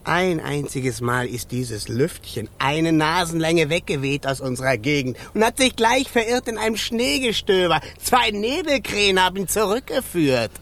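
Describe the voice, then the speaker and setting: An actress in a children's radio play voicing a spiteful water spirit, gleefully scornful, with sneering, drawn-out, sing-song mockery. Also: female voice, lowish voice, expressive.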